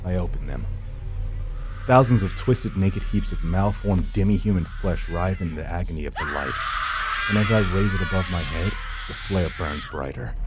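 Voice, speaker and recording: A man reading a visual novel aloud as dramatic scripted narration, with a brooding, theatrical delivery. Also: deep voice. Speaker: gameplay channel host reading aloud. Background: faint music.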